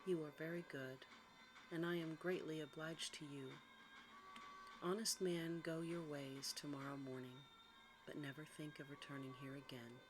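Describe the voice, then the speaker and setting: A woman's voice: sits low, fairly even intonation, normal speed, noisy background, natural voice. A woman voicing a male monster, formal, courteous and commanding, in a storybook reading.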